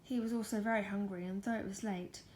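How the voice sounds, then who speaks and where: A woman reading a picture book softly in an ASMR storytime style, often slipping into a whisper and cooing to a pet. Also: medium pitch, steady tone, quick pace. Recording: faint background noise.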